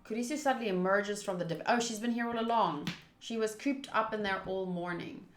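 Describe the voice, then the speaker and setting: A woman's voice: mid-range voice, a little fast, slight background noise. A young woman game streamer reading game dialogue aloud and adding playful, self-mocking casual commentary. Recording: roomy sound over game music. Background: faint game music.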